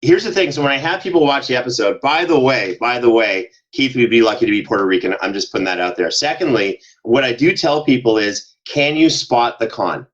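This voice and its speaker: The recording is a little dull and lo-fi. A man of average pitch talks casually as an interview guest, spinning anecdotes with animation and slipping into quoted back-and-forth as he goes. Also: brisk, hardly any pauses. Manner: animated storyteller, acts out quoted exchanges. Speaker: podcast guest, audio drama producer.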